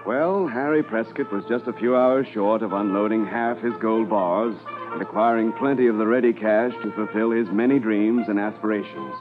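An actor playing a commanding Mountie officer in a 1950s radio drama, speaking in a measured, authoritative way. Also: male voice, on the low side, over music, natural voice. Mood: measured narration. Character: commanding male Mountie detachment officer narrating a case. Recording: tinny 1950s radio transcription.

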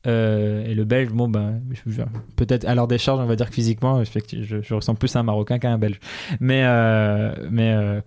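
A man's voice: on the low side, little pitch movement, brisk, a little mellow. A young man co-hosting a casual podcast, hesitant and full of restarts, laughing often; his first language is Dutch. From Belgium and Morocco. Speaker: podcast co-host. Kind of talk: casual conversation.

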